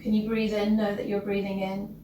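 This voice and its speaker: A woman leading a guided breathing meditation as a teacher, gentle and instructional, leaving long pauses between short phrases. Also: medium pitch, completely flat delivery. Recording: roomy, distant mic with loud background noise.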